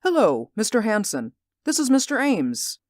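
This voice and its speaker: An anxious, insistent neighbor. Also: male voice, sits high, huge pitch swings, moderate pace, dry recording. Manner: earnest and insistent. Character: anxious, insistent man watching a window. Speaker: worried neighbor. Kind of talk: 1940s radio acting.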